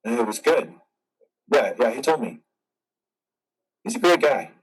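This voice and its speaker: A man playing a brooding, guarded, grieving veteran in an amateur stage play reading, with a dramatic, halting delivery. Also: mid-range voice, very animated, breathy edge, far from the mic, rough recording. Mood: strained, polite. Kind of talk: amateur reader's theater over video.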